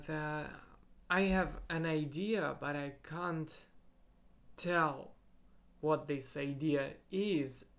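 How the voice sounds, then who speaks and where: A young man whose first language is Russian, thinking aloud in a halting solo podcast monologue and searching for his words. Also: fairly high pitch. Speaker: podcast host.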